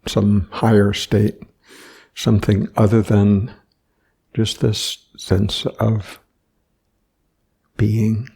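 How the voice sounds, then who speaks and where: A man guides a meditation in a very slow, even voice with a heavy creak in it. He speaks gently in short phrases and leaves long, unhurried pauses between them. The tone stays flat and soft-edged throughout. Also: lowish voice. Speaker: meditation teacher.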